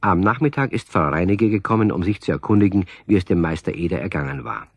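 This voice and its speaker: A man's voice: low-pitched, expressive, quick pace, dry recording. A man reading scripted storybook narration as the narrator of a radio play.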